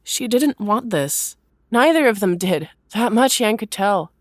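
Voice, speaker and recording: A woman reading scripted third-person story narration in an expressive storytelling manner. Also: mid-range voice, a little slow, no room sound, clean studio sound.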